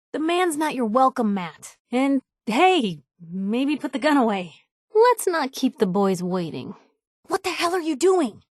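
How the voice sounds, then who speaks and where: A woman's voice: a little high, no room sound. Young woman reporter sidekick from a video game, wisecracking and loyal, super dry close-up sound. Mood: exasperated, sharp. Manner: wisecracking, sardonic, conversational. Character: wry, plucky young woman reporter, loyal and outspoken companion. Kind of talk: scripted video game companion dialogue.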